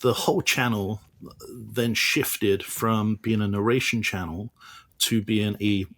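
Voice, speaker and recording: A man's voice: lowish voice, steady tone, slow pace, fairly bright tone. A man being interviewed as an author, speaking hesitantly with many restarts and fillers. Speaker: author and guest. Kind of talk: casual interview.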